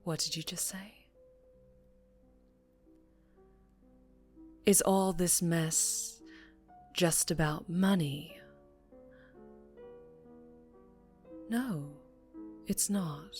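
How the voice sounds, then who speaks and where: Woman acting a low, slow, menacing mafia boss woman. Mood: irritated, proud. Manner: teasing, taunting, softly threatening. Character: dangerously charming, menacing female mafia boss. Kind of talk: scripted ASMR roleplay monologue.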